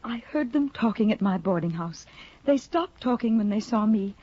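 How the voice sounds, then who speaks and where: Actress doing a timid, fearful young woman. Breathy, lo-fi 1950s radio drama. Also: medium pitch, lively intonation, moderate pace, close to an everyday voice. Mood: anxious, pleading. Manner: fearful, pleading. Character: Mrs Elvsted, timid anxious young woman. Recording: dry lo-fi 1950 radio broadcast.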